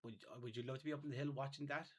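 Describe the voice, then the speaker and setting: A man's voice: medium pitch, steady tone, very fast, a little hiss or hum. An Irish man hosting a casual interview, hesitating and repeating words as he goes. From Ireland. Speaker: podcast host.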